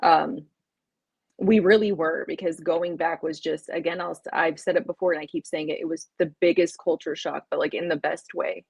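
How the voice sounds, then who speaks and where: A woman's voice: sits low, fairly even intonation, moderate pace, vocal fry, slightly rough, no echo. A woman in her thirties, a podcast guest, telling her life story in a casual, chatty way, full of fillers and likes. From United States and Palestine.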